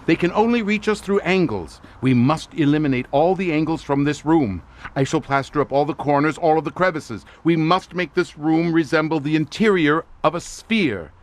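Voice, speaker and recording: An actor playing a feverish, grandiose researcher near madness, declaiming with portentous fervor in a horror audio drama. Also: male voice, on the high side, moderate pace, natural voice. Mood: frantic, urgent. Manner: theatrical, portentous, fervent declamation.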